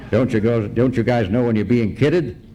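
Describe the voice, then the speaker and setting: An actor playing a wry, laconic private detective in a comic radio noir parody. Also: male voice, mid-range voice, natural voice. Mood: amused. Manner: deadpan, laconic. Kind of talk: comic old-time radio noir acting. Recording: noisy live stage recording.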